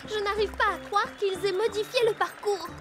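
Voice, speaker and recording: A woman's voice: extremely high pitch, steady tone, moderate pace. A woman voicing a headstrong, cocky young girl horse rider in a cartoon dub. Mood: dismayed. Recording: loud background music.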